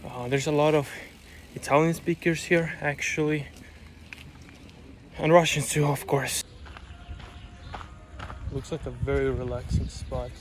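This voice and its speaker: A man's voice: mid-range voice, fairly even intonation, measured pace, pauses often. A young man chatting casually as a travel vlogger, thinking aloud about his route while outdoors. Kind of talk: casual travel vlog narration. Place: outdoors by a town and lake. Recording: loud outdoor noise, traffic and wind.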